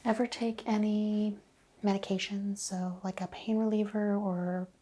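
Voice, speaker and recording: A woman playing an attentive, reassuring chiropractor in a soft-spoken ASMR roleplay, asking questions in a hushed, unhurried way. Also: mid-range voice, level tone, slight background noise, natural voice. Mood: reassuring, methodical. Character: attentive, reassuring female chiropractor taking a history. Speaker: ASMR performer playing a clinician.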